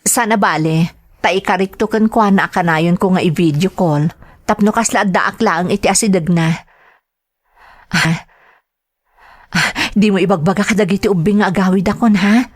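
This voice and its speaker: A woman playing a gentle, homesick mother working abroad, acting in a sentimental radio drama with a Filipino accent, tender and emotional. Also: medium pitch, moderate pace, long pauses, dry recording, close to an everyday voice. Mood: homesick, affectionate.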